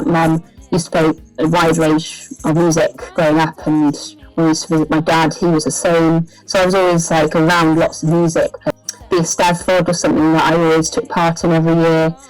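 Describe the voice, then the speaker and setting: A woman's voice: sits low, a little mellow, rough recording. A woman with a Welsh accent giving casual interview answers, thinking aloud with some hesitation. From Wales. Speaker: singer-songwriter being interviewed.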